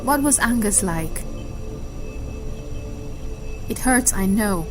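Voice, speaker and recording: An actress playing a firm but caring hospital worker in an audio drama. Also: female voice, mid-range voice, animated, slow, music in the background. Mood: consoling.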